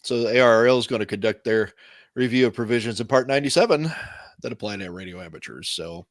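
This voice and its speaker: A man with a medium-pitched voice talks casually as a co-host on a tech panel show. The recording is dry, with no room sound around him. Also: fast talker, dry recording.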